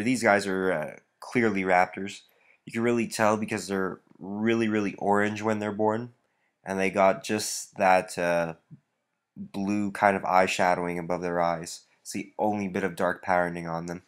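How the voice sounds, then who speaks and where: A man with a somewhat low voice talks through a stuffy nose. He rambles casually as he walks through his breeding rack, filling pauses with lots of "uh" and "um.". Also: clean tone. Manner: relaxed, rambling, many fillers. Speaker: reptile breeder. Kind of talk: casual walkthrough vlog.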